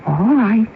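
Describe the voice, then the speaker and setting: A woman's voice: fairly high pitch, full character voice. An actress playing a brusque, skeptical mature woman in a radio drama, with a dry, sardonic delivery. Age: middle-aged. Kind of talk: 1970s radio drama acting. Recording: muffled, hissy old radio recording.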